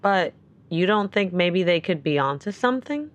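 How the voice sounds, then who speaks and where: A woman playing a worried, caring and suspicious character in an audio drama, speaking naturally and earnestly with gentle insistence. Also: fairly low pitch, expressive, moderate pace, lo-fi sound, lightly acted. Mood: uneasy, curious.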